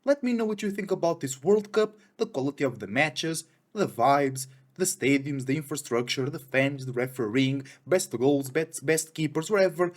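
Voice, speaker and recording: A man's voice: on the high side, normal speed, hardly any pauses. A young man from Portugal with a Portuguese accent, hosting a casual, chatty football preview on his own channel. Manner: animated, chatty, slangy with "bro".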